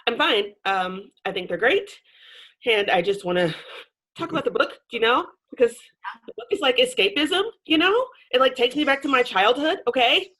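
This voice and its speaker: A woman's voice: on the high side, lively intonation, normal speed, fairly bright tone. A woman playing an overwrought, frazzled mother, manic and repetitive in an improv sketch. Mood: strained. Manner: manic, repetitive, nervous laughter.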